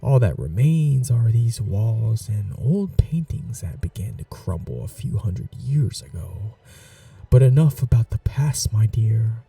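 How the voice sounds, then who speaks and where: A man voicing an ancient, cunning male vampire lord in a whispered ASMR roleplay, courtly and seductive, with a husky, half-whispered voice. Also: lowish voice, animated, warm tone, far from the mic, heavily acted. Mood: charming, courtly. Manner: courtly, slow and seductive, turning menacing.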